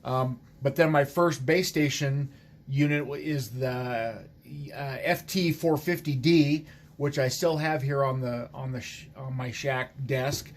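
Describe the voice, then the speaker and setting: A man speaking as an amateur radio operator in casual conversation, in a halting, hesitant way. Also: mid-range voice, steady tone.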